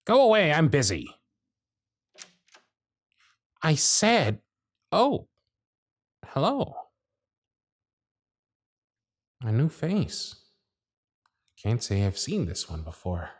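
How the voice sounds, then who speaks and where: A man's voice: on the high side, wildly swooping pitch, takes it slowly, long pauses, slightly rough, no room sound, studio quality, heavily acted. A man voicing a weary male elf supervisor in an ASMR audio roleplay, swinging from exasperated pep talks to gloomy muttering. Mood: wry.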